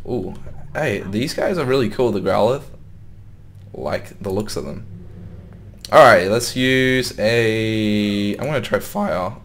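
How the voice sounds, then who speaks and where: A young man with a slightly sore voice, casually commenting on his gameplay and thinking aloud as he plays. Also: on the low side. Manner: thinks aloud, wondering and muttering to himself. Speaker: gaming YouTuber.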